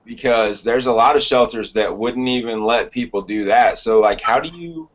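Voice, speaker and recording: A man with a mid-pitched voice talks conversationally as the host of a casual interview podcast. The audio is a little dull and lo-fi. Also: normal speed.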